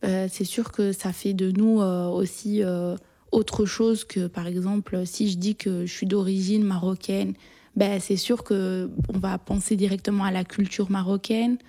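Young female interviewee, mellow and level, informal, hesitant delivery. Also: medium pitch, level tone, a little slow. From Belgium. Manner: hesitant, searching for words. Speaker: interview guest. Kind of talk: casual, personal interview talk.

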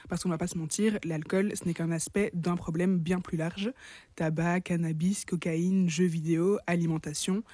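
A presenter reads a scripted segment at a middle pitch in a clear, measured delivery. The voice has a mellow, darker tone. The recording is dry, with no room heard. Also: no room sound. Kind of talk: scripted narration.

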